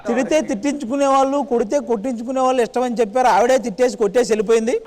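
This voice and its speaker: Fast cheeky comic man. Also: very high voice, fairly even intonation, darkish tone. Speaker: comedian on a dance show. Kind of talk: comic banter.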